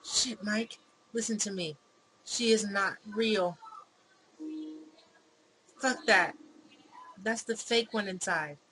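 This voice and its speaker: A woman voicing a desperate, foul-mouthed young woman from a visual novel, urgent, forceful and pleading. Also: mid-range voice, slow, pauses often. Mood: angry, urgent. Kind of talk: visual novel read-aloud acting. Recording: clearly noisy background.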